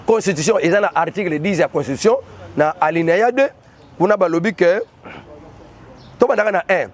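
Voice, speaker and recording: A man's voice: sits high, fairly quick, a little hiss or hum. A man with a Congolese accent giving impassioned political commentary, exhorting his listeners. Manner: urgent, exhorting, mixes two languages.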